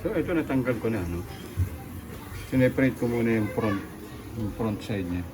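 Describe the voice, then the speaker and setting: A Filipino man giving a casual hands-on repair walkthrough, speaking haltingly and repeating himself as he works. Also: medium pitch, lively intonation, over music. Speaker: electronics repair technician and vlogger.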